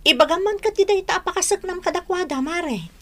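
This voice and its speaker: An actress playing a nervous, sympathetic, gossipy village neighbor, chatty and dramatic in an Ilocano radio drama. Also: female voice, very high voice, brisk, fairly bright tone. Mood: concerned, gossiping.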